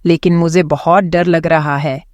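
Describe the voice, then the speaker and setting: A woman playing an anxious, devout young wife, worried and pleading, in an animated horror story. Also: deep voice, a little slow, dry recording, muffled recording. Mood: fearful.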